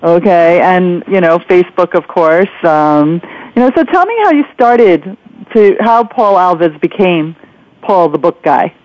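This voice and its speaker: A woman's voice: lowish voice, lively intonation, unhurried, no echo. Woman interviewer, dry and slightly muffled. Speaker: interview host. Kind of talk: conversational interviewing.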